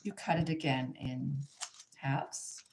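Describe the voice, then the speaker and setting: A woman from Germany with a German accent gives an informal hands-on craft demonstration in English as a second language, sometimes halting and repeating herself as she searches for words. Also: extremely low pitch, vocal fry, darkish tone, slight background noise, poor audio. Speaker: craft instructor, artist.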